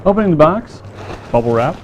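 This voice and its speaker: A man casually demonstrating a radio kit, plain-spoken and relaxed, with frequent fillers. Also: fairly high pitch, fairly quick, muffled recording, a little hiss or hum. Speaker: company staff demonstrating a kit.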